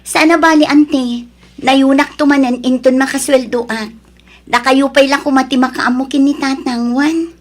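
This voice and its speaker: A woman acting a high-voiced, coy young mistress. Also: fairly even intonation, normal speed, natural voice. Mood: caring, worried. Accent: Ilocano. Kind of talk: melodramatic radio drama acting.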